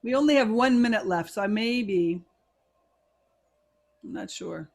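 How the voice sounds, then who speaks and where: A woman's voice: mid-range voice, animated, unhurried, creaky. An American woman teacher trainer leading an online workshop over a video call, encouraging and playful as she guides the group. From USA. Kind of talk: warm, upbeat workshop facilitation.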